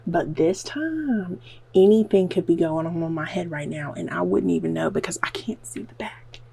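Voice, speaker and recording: A woman's voice: lowish voice, lively intonation, moderate pace, gravelly, darkish tone, slight background noise. A woman with a Southern US accent chatting to her camera at home in a rambling, nervous, self-mocking way, with lots of "like". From American South. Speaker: beauty vlogger. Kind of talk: rambling casual vlog chat to camera. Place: at home, self-filmed while dyeing hair.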